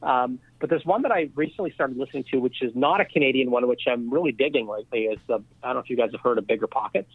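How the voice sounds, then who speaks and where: A man's voice: mid-range voice, fast talker, hardly any pauses, husky, dry recording. Man on a tinny phone line. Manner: rambling, many fillers and restarts. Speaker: podcast guest, real estate investor. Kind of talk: casual phone interview.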